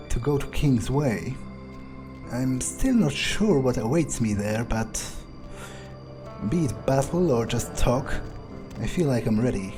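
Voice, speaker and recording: A man improvising in-character game commentary as a scheming vampire, musing aloud in a deliberate, self-assured way. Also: mid-range voice. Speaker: gamer playing in character. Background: faint game music.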